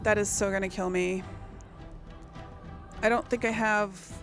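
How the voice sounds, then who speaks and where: A woman's voice: medium pitch, steady tone, a little slow, soft background music. A woman giving casual video game commentary, thinking aloud and exclaiming as she plays. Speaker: video game let's play host.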